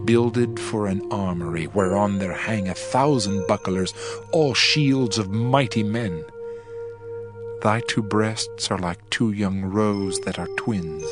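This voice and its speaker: A man playing an adoring bridegroom king in a dramatized scripture reading, solemn, tender and deliberate. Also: fairly low pitch. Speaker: actor reading dramatized audio Bible. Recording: music bed behind the voice.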